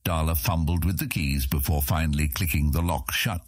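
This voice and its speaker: Deep raspy guy narrating a fantasy audiobook, really dry studio sound. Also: moderate pace, no echo.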